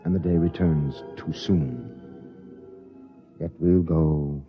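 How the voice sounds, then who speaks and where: An actor playing a grave, conscience-torn spaceship captain, solemn and earnest, in 1950s radio drama style. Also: male voice, a bass voice, slow, faint music behind. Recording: dull vintage 1950s radio recording.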